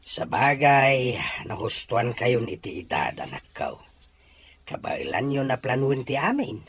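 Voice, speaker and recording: A man's voice: mid-range voice, sing-song, a little slow, fairly bright tone, a little hiss or hum. A man with a Filipino accent acting a caring middle-aged father who gives counsel, in an amateur audio drama. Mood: thoughtful.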